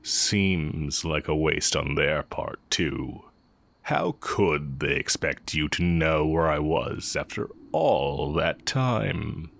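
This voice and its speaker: Wicked sorcery professor male actor: extremely low, slow, gravelly drawl, smug and sardonic, for romance audio roleplay. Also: animated, fairly bright tone, exaggerated voice. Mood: sympathetic, fond. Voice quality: very deep, creaky. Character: evil male magic teacher, heretic, smug, amused, fond of former student.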